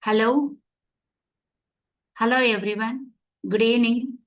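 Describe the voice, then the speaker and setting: A woman's voice: on the high side, fairly even intonation, slow pace, dull audio. A woman whose first language is Tamil, teaching an online class step by step and checking whether her students follow. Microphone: computer mic on video call.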